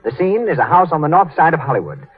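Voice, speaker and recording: A man's voice: a little high, big pitch swings, fast, slightly rough. A man with an American accent announcing in 1930s radio style. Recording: tinny, band-limited 1930s radio broadcast.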